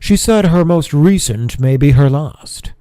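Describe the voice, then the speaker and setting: Affable, dim monk voiced by a man, lifted pitch. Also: expressive, a little slow, dark tone, no echo, clean studio sound. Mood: genial, oblivious. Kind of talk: audio drama acting.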